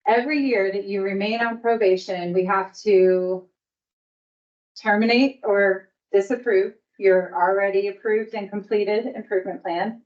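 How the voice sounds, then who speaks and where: A woman's voice: mid-range voice, steady tone, a little slow. A woman presenting at a provider meeting in an upbeat, encouraging way. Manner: upbeat and encouraging, laughs easily. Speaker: early learning coalition staff presenter. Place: meeting room. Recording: dull sound, distant from the mic.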